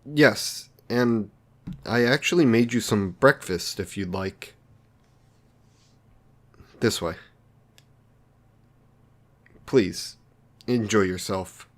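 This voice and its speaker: Lonely villain , male actor, somewhat deep voice. Considerate and socially awkward, apologetic delivery for close, intimate roleplay audio. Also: takes it slowly, long pauses. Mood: eager, awkward. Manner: hesitant, apologetic, polite.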